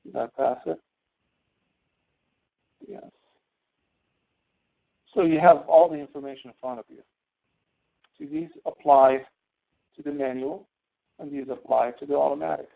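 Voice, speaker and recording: A man teaching step by step as an instructor in a screen-share tutorial, halting and checking with questions. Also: fairly high pitch, fairly even intonation, creaky. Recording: tinny phone-like online meeting audio.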